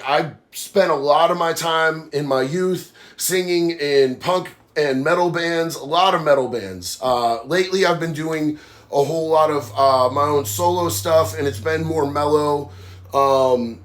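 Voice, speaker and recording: A man hosting a live stream, nasal from a sinus infection, talking bluntly and heatedly while reading viewer comments. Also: fairly high pitch. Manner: blunt, heated, says bro a lot. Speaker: livestream host.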